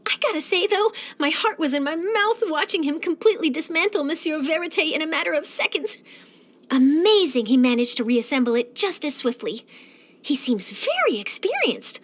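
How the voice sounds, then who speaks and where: A woman voicing an eager, nosy young female journalist in a video game, chatty, theatrical and expressive. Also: high voice, normal speed. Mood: impressed, chatty. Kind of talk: animated game character voice lines.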